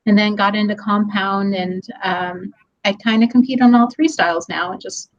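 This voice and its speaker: A woman's voice: medium pitch, steady tone, moderate pace, lo-fi sound. A woman speaking as guest on an online tech meetup, friendly and chatty, laughing easily and using plenty of ums. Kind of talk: webinar presentation. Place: office hallway.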